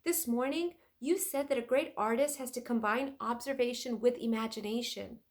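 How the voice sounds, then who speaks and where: A woman's voice: fairly high pitch, normal speed, voice pushed up, lightly acted. A woman voicing an eager, insistent young girl adventurer in a storybook reading. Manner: excited, insistent, exclaiming.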